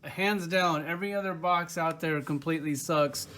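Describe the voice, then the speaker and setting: A man's voice: fairly high pitch, moderate pace, pushed and bright. A man giving a casual, opinionated product review in an informal, rambling way. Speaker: toy reviewer.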